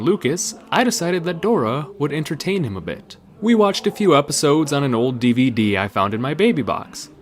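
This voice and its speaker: Man giving a dramatic reading, noisy recording. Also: mid-range voice. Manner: theatrical, deliberate delivery. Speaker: narrator reading a creepypasta aloud.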